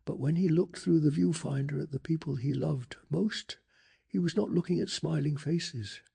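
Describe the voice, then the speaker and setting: A man softly narrating a bedtime story in a hushed, slow and deliberate ASMR style, with a breathy, gravelly voice. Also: on the high side. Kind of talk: soft-spoken ASMR scripted story narration.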